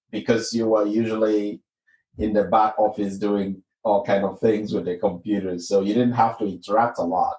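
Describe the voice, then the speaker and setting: A man's voice: lowish voice, darkish tone. A man hosting a podcast, interviewing his guest in an encouraging way with plenty of fillers. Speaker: podcast host. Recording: echoey room, distant mic.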